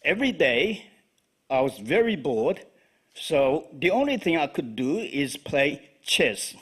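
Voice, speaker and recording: A man with a Chinese accent, whose first language is Chinese, giving a live poetry reading in a halting way with frequent fillers. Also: fairly high pitch, big pitch swings, fairly bright tone, husky. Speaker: poet.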